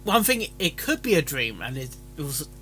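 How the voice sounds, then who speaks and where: A man giving casual off-the-cuff commentary as a reaction host, halting and repeating himself. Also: on the high side, big pitch swings, loud music behind the voice.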